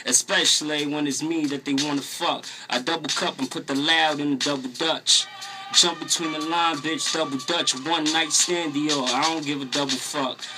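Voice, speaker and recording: A man's voice: fairly high pitch, steady tone, normal speed, over music. A young man from New York rapping freestyle in a brash, boastful, streetwise way on a close studio microphone. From Harlem, New York. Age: young adult. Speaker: guest rapper. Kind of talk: freestyle rap over a beat. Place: small radio studio. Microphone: close studio boom microphone. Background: hip-hop beat playing.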